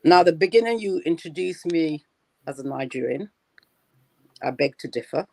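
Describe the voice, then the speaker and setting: A woman's voice: sits low, expressive, normal speed, gravelly, a little mellow, dry recording. A woman in her sixties, a lawyer on a discussion panel, speaking in a firm and assertive way. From Britain.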